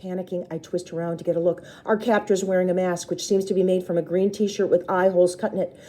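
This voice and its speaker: A woman's voice: fairly low pitch, level tone, quick pace, dark tone. A woman storyteller reading a children's book aloud expressively, with brief explanatory asides to listeners.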